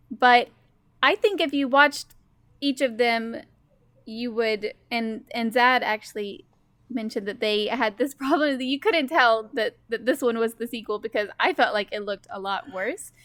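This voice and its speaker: High voiced lady on a podcast, playful teasing chat, very dry sound. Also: a little slow, fairly bright tone, no echo. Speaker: podcast panelist. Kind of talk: casual chat.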